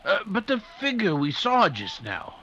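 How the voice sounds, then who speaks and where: Man as a blustering radio sidekick, high pitch, excitable, hesitant, muffled noisy recording. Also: moderate pace, heavily acted. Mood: puzzled. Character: flustered, excitable male companion of a detective. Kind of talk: 1940s radio mystery acting. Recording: dull, clearly noisy live recording.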